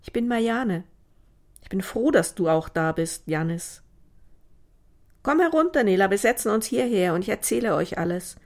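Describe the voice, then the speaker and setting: A woman's voice: mid-range voice, moderate pace, darkish tone, close to an everyday voice. A woman voicing an earnest, secretive girl from a fantasy land in an audiobook, with an urgent, dramatic manner.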